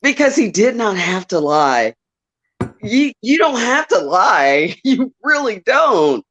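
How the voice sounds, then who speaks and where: A woman's voice: medium pitch, very animated, slow pace, fairly bright tone. A woman with a Southern US accent, calling into a show and chatting emotionally, laughing often. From Southern United States. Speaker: caller. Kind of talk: casual call-in chat.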